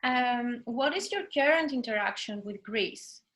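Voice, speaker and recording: A young adult woman hosts an interview over a video call, in a voice a little higher than average. She speaks hesitantly, with many fillers between her phrases. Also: expressive, unhurried, a bit bright. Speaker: host, consulate officer.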